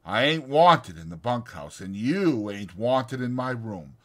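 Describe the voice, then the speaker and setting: A man's voice: mid-range voice, expressive, unhurried, close to an everyday voice. A man voicing a proud, aloof, crippled stable hand who grumbles sharply in speech. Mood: bitter, defiant.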